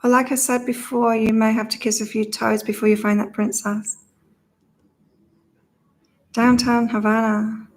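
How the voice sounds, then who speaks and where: A woman hosting a casual psychic livestream, slightly croaky, reading viewers' comments aloud and laughing often between card readings. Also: fairly high pitch, little pitch movement, unhurried, pauses often, poor audio. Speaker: psychic reader and channel host.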